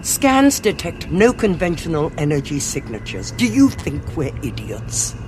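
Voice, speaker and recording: An actress playing a brisk, haughty military cardinal in a science fiction audio drama. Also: female voice, deep voice, very animated, noisy background. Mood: impatient. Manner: commanding, clipped, haughty. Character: brisk, imperious female alien cardinal, military commander.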